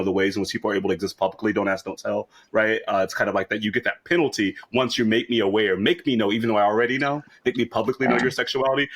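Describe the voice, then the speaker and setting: A man's voice: fairly low pitch, a bit bright. A man from rural Kansas arguing his points in a casual panel discussion. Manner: argumentative, rapid and emphatic.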